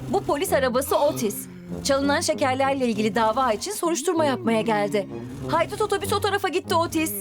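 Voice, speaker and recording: A woman narrating a cartoon for young children, expressive and exclamatory, often asking questions and addressing the characters. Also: high-pitched.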